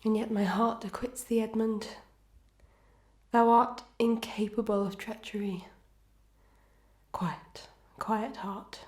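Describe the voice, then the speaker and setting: A woman's voice: on the high side, flat delivery, slow, pauses often, darkish tone. A woman playing a sorrowful, dignified wife torn between love and duty in a Victorian melodrama, declaiming formally with pathos. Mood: tormented sorrow. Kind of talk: Victorian melodrama audio acting. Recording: echoey, reverberant room.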